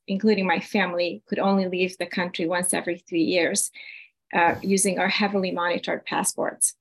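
A woman's voice: mid-range voice, creaky, rough recording. A woman, reading a prepared personal story to a council over a video call. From Hungary. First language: Hungarian. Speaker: invited speaker.

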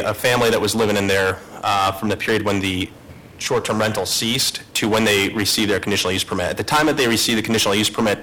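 A man's voice: on the low side, bright, forceful tone, rough recording. A man, a city staff investigator, giving a matter-of-fact report at a public hearing.

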